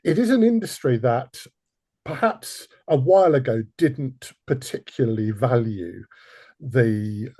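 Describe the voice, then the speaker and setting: Male interview host. Soft-edged mellow tone with some rasp, self-deprecating, courteous, slightly halting. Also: medium pitch, animated. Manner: self-deprecating, hesitant, polite. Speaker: podcast host. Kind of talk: casual interview hosting.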